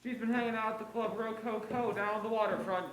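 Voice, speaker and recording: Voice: mid-range voice, fairly even intonation, fast, close to an everyday voice. A student actor playing a blunt, suspicious police inspector in a noir stage play. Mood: suspicious, pressing. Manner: blunt, clipped, slangy. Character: brusque, suspicious police inspector, needling a detective. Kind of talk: 1940s noir stage acting. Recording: roomy stage echo, faint background noise.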